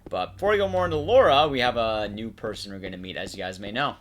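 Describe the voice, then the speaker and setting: A man's voice: on the low side, fast, a little hiss or hum. A man giving casual, analytical commentary on a video game as its commentator.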